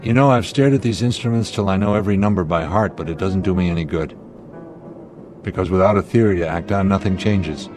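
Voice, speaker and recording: An actor playing a steady, authoritative airline captain in a radio drama, reasoning deliberately and giving commands. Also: male voice, low-pitched, music in the background, natural voice. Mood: grim, determined.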